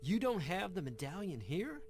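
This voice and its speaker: An actor playing an earnest, pious young Old West preacher, narrating a video game gravely. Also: male voice, high-pitched, big pitch swings, moderate pace, a little mellow, slight background noise. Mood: curious. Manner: grave, literary phrasing. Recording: music under the voice.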